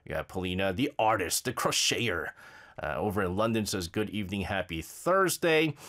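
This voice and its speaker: Male radio host, a bit nasal from a cold, bouncy and animated pitch, chatty with fillers. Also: normal speed. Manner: energetic, bouncy delivery with many fillers. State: has a slight cold, drowsy. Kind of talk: upbeat radio show announcements.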